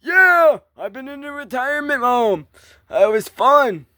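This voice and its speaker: A goofy prehistoric nautilus, voiced by a man for a cartoon-style skit. It talks in an extremely high, bright voice, drawling slowly and boasting in a silly way. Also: expressive, no echo, studio quality, full character voice. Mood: cheerful.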